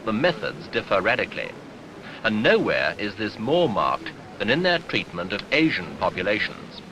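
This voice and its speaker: A man narrating a 1970s documentary newsreel in a formal, measured, authoritative way, on an archival soundtrack. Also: fairly low pitch, noisy background.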